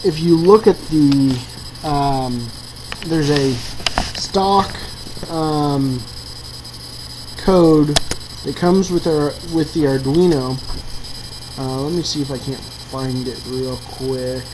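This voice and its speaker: A woman's voice: sits low, slow, pauses often. A woman giving a casual, improvised walkthrough of a hobby project, hesitant and thinking aloud. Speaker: hobbyist maker showing an electronics project. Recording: clearly noisy with steady mains hum.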